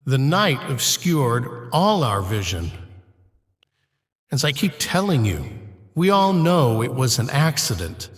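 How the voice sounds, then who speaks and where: An actor playing a gentle, weary village rector in an audio drama, speaking slowly and formally. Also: male voice, medium pitch, very animated. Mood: reassuring. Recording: long cathedral-like reverb.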